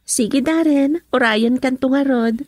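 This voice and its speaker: A woman's voice: sits high, measured pace, darkish tone, no echo. A Filipino woman playing a sweet, agreeable young daughter and girlfriend in a radio drama.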